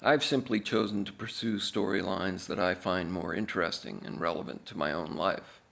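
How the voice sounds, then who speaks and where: A man reading aloud as an author, in a deadpan, measured manner with deliberate pauses. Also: deep voice, creaky. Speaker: author reading own book.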